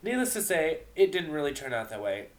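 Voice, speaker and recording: A man's voice: on the high side, very animated, a little fast, pitched above the performer's own voice. A man voicing a grand, chatty, easily flustered ancient moon goddess mare in a dramatic fan-fiction reading. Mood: eager, chatty. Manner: theatrical, self-correcting, addresses the listener directly.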